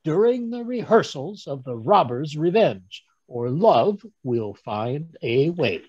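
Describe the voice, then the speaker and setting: A man playing a genial, fussy older English gentleman, chattily recounting his adventures in old-time radio drama style, with a husky voice. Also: a little high, big pitch swings, takes it slowly, dry recording, heavily acted. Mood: amused. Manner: chatty, chuckling, rambling storyteller with stammered asides. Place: home room on a group video call. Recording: dull, dry video-call audio.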